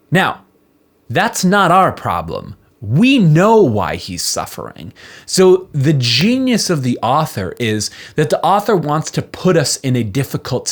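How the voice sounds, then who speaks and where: Animated man explaining things casually. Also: mid-range voice. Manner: conversational, rhetorical questions with "right?" tags. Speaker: presenter of a Bible commentary channel.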